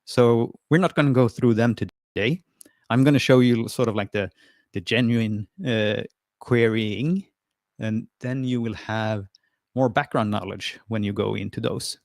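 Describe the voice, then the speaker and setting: A man's voice: lowish voice, steady tone, a little slow, vocal fry, darkish tone, no room sound, studio quality. A man from Sweden, presenting a friendly, hesitant screen-share tutorial over a video call, with lots of fillers and restarts. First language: Swedish. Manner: friendly and encouraging.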